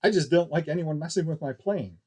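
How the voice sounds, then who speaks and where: A man voicing a bold, plain-spoken young woman stunt pilot in an audiobook, brisk and matter-of-fact. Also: fairly high pitch, darkish tone, husky, voice pushed up. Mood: confrontational. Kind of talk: audiobook character dialogue.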